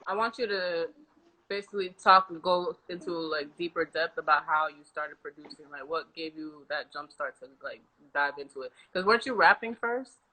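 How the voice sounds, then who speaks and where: A woman's voice: sits low, moderate pace, sharp tone. A young woman, hosting a casual interview chat. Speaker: podcast host. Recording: noisy home recording with clicks.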